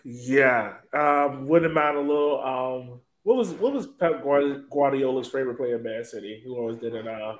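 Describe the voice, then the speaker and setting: A man's voice: medium pitch, moderate pace, few pauses, rough recording. A man hosting a casual soccer podcast discussion. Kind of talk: casual chat.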